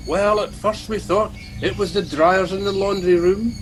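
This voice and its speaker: A man's voice: high-pitched, fairly even intonation, moderate pace, faint music behind, close to an everyday voice, rough recording. A man playing a gloomy, evasive starship engineer with a Scottish accent in a comic radio play. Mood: sheepish. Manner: doom-laden, evasive.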